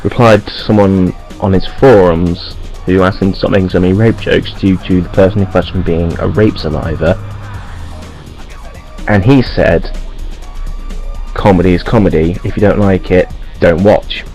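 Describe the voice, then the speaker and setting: Very deep measured man. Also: fairly even intonation, a little mellow, faint music behind. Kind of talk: telling a story to camera.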